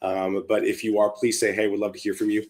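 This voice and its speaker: A hoarse man, talking upbeat and chatty on his live stream, full of ums and restarts. He sounds like he's on a desk mic over a video call. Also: lowish voice, little pitch movement, quick pace, a little mellow. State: hoarse from a cold. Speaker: livestream show host. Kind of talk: casual live-stream chat.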